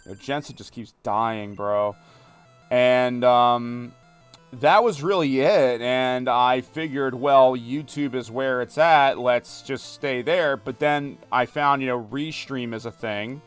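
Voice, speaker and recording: A talkative young man chats loosely while streaming, full of fillers and little jokes. His voice is smooth and clear at a middling pitch. Soft music sits under his voice. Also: slow pace. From United States. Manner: rambling, talkative, many fillers, reads chat aloud and jokes. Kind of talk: casual live-stream chat while gaming. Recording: music playing behind.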